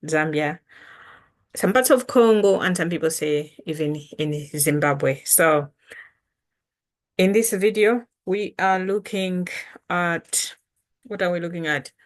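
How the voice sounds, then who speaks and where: A woman from Malawi whose first language is Chitumbuka, patiently teaching it in a casual lesson to camera. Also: fairly low pitch. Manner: unhurried, patient, repeats and spells out words. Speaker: language teacher and channel host.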